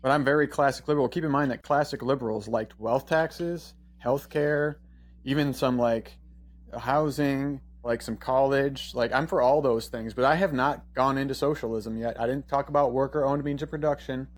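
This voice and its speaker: Blunt guy arguing politics on a podcast, swears a lot, mid-pitched voice. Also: fairly even intonation, normal speed. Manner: blunt and combative, swears freely. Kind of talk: casual political debate.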